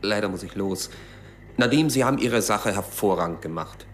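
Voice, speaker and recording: An actor playing a serious, formal male adviser of about forty in a German radio drama, with a deadpan delivery. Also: lowish voice, normal speed, slight background noise, natural voice.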